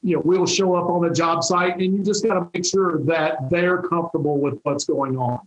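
Middle-aged male interviewee, folksy and easygoing, slightly high voice, roomy video call sound. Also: steady tone, moderate pace. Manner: easygoing, folksy, frequent restarts. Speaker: territory manager, interview guest. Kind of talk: conversational interview answers. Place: home office. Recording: echoey room on a video call.

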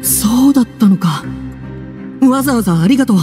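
A man's voice: very high-pitched, lively intonation, a little fast, music in the background. An actor playing a timid, flustered young male prisoner in a game, hesitant, stammering and apologetic. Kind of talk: game voice acting.

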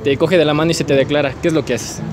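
A man's voice: a little high, steady tone, rapid-fire, over music. A young man with an Ecuadorian Spanish accent, playfully chumming up with people in a street interview. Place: outdoor city street. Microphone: phone held out as a mic. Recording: loud street noise.

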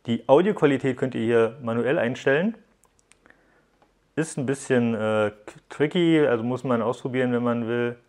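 Man, tech reviewer, casual explanatory narration, medium pitch, talking directly to viewers. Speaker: app reviewer, host.

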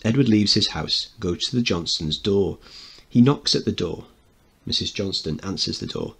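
A man's voice: sits low, expressive, moderate pace, gravelly, husky. A man teaching, narrating a play and explaining it informally as he goes. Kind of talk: dramatic reading with informal explanation.

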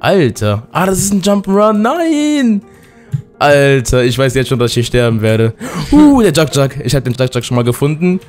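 A hoarse man with a cold, giving excited let's-play commentary and often breaking into loud outbursts. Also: medium pitch, moderate pace, faint music behind. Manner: excitable, loud outbursts, keeps addressing viewers. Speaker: gaming YouTuber.

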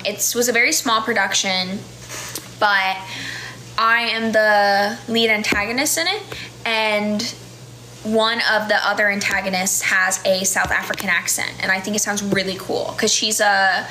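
Bright-voiced young American woman chatting. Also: mid-range voice, slight background noise, poor audio. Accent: General American. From United States. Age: 20s. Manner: chatty, laughs often, many "like" fillers. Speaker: livestream host. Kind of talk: casual live-stream chat reading viewer comments. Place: small indoor room. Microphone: phone camera close up.

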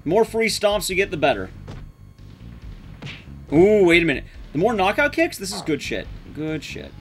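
High-pitched, animated young male streamer. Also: moderate pace, soft background music. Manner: sweary, self-deprecating. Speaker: streamer speedrunning a game. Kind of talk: casual live gameplay commentary. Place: small room at home, game sound behind.

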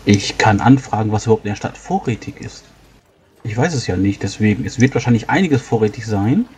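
Man playing a mellow, low-voiced practical trader. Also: lively intonation, a little fast, close to an everyday voice. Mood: obliging. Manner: explanatory, reckoning aloud. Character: practical, obliging male food supplier, carefully calculating. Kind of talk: in-character tabletop roleplay. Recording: faint background noise.

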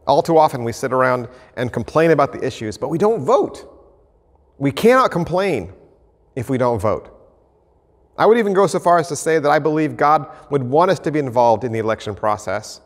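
A man in his fifties, an American pastor, preaching an earnest, measured sermon to camera from an empty church sanctuary. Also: mid-range voice. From United States. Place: empty church sanctuary, indoors, mid-sized. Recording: reverberant church hall.

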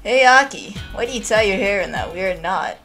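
A woman's voice: medium pitch, lively intonation, normal speed, music in the background, lightly acted. A woman voicing a tipsy, teasing young woman in a comic dub, playful and wheedling.

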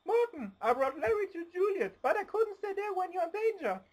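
A woman's voice: extremely high pitch, very animated, fairly quick, darkish tone, low-quality recording. A woman playing a feisty, stubborn young mother who scolds urgently in an amateur radio play. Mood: worried, scolding.

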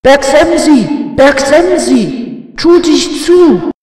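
A woman voicing a poor, curious man in a fairy tale, calling out a magic spell in a drawn-out chant. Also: high-pitched, expressive, darkish tone, pitched above the performer's own voice, heavily acted. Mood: calling loudly. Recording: strongly echoing, reverberant sound.

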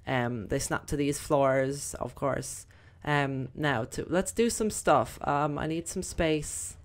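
A young woman with a slightly croaky voice, chatting casually through gameplay commentary with lots of fillers. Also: sits low, takes it slowly. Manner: chatty, rambling, many fillers. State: croaky, voice recovering. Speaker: gaming video host.

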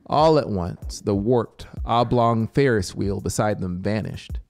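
Man, thirties, low-pitched, gravelly, dramatic deliberate story narration, close studio mic. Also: a little mellow. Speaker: audiobook narrator. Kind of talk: scripted story narration over soundscape. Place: small home music studio, indoors. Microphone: close studio microphone with headphones. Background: atmospheric synth music.

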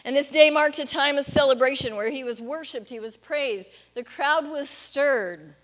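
A woman's voice: sits high, moderate pace, fairly bright tone. A middle-aged woman pastor preaching in a reflective, explanatory way, putting questions to her listeners. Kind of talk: sermon. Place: church stage, indoors.

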